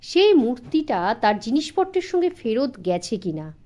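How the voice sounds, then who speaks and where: Lady reading as a clever 20s detective guy in an audiobook, teasing, inquisitive, lots of pitch movement. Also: sing-song, moderate pace, dark tone. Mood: inquiring. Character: sharp, wry 28-year-old male private detective.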